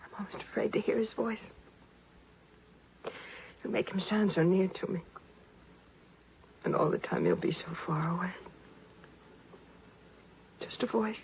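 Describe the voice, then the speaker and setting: A woman's voice: mid-range voice, unhurried, long pauses. An actress playing a frightened, innocent eighteen-year-old stowaway girl with a plaintive manner, in a 1950s science fiction radio melodrama. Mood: whispered despair. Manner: plaintive, breathy, childlike pleading. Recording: dull, noisy 1950s radio recording.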